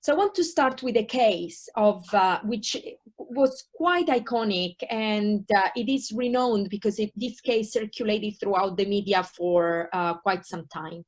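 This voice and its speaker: A woman in her thirties from Italy, speaking with an Italian accent as she gives a university taster lecture online. Her delivery is hesitant, full of ums, uhs and restarts, at a middling pitch. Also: unhurried.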